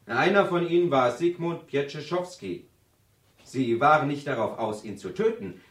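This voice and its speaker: A man's voice: on the high side, lightly acted. An actor playing a zealous, argumentative male university student who lectures emphatically in a radio drama seminar. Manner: lecturing, emphatic, with theatrical build-ups. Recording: roomy, slightly distant studio acoustic.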